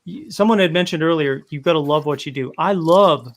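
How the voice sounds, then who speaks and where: Chatty higher-voiced man from Rhode Island. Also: fairly quick. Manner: chatty storyteller, animated when telling a joke. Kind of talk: casual chat.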